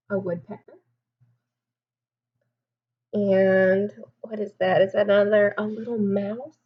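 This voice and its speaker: A woman's voice: mid-range voice, breathy edge, highs cut off. A woman teacher reading a storybook aloud to young children, expressively, with simple explanations and questions.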